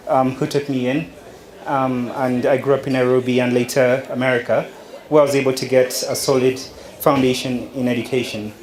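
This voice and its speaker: A young man from Uganda tells his own story in an unhurried way, often pausing on fillers and restarting his sentences. His voice sits in the middle range. The sound carries the echo of a large room. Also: medium pitch, a little mellow. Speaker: speaker giving a talk. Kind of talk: personal story talk. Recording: echoey room.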